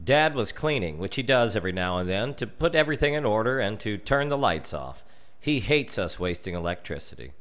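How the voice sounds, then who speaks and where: An American man reading a scripted story as narrator, theatrically, with dramatic pauses. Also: on the low side, big pitch swings, fairly bright tone. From United States. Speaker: storyteller reading his comic family memoir.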